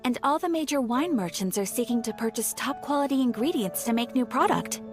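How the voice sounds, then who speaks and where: A woman voicing a polite, high-voiced guild receptionist. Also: lively intonation, faint music behind, lightly acted. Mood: polite, composed. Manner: courteous, measured, businesslike. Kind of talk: video game voice acting.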